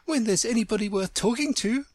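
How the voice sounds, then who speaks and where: A woman's voice: a little high, fairly quick, a little mellow, dry recording, studio quality, voice pushed up, close to an everyday voice. A woman voicing a haughty, hot-tempered talking flower who scolds in a storybook. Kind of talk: storybook character voice.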